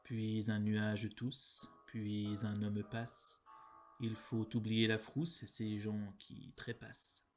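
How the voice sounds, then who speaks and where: A man reciting poems in a measured, deliberate way, phrase by phrase. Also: on the low side, completely flat delivery, soft background music. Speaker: poetry reader. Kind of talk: poetry recitation.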